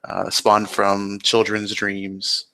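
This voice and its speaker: A man improvising descriptive scene-setting as game master of a tabletop roleplay, with hesitant pauses. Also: fairly low pitch, monotone, pushed and bright, poor audio.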